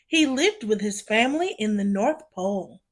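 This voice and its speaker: A woman reads a children's story aloud, narrating expressively and deliberately for young listeners. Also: mid-range voice, lively intonation.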